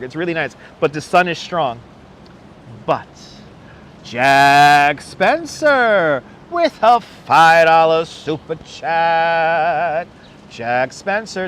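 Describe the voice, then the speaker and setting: A man's voice: on the high side, fairly bright tone. Chatty New York man livestreaming outdoors. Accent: faint New York. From New York, Brooklyn. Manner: chatty, rambling, thanks viewers by name. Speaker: livestream host. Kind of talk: casual live-stream chat while out in the city. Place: outdoors on a city street. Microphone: wireless clip-on mic. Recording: street noise in the background.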